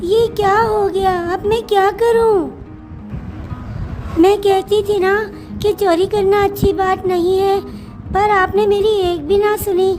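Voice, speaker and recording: A woman's voice: very high-pitched, little pitch movement, slow pace, a little mellow. A woman voicing an anxious, scolding village wife in a cartoon story. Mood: distraught. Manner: fretful, scolding. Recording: voice over background music.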